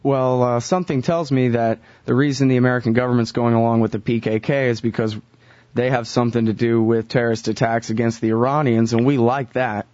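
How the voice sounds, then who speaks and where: A man's voice: mid-range voice, steady tone, brisk, darkish tone, dry recording. A male radio host talks casually and asks pointed questions, stumbling now and then on fillers. The sound is dry and close but a bit muffled and lo-fi. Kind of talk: radio interview.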